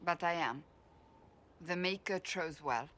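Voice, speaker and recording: A woman's voice: lowish voice, takes it slowly, a bit bright. An actress playing a stern, proud woman warrior in a video game, speaking in an earnest, emphatic, formal way. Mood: sincere admiration. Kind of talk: video game cutscene acting.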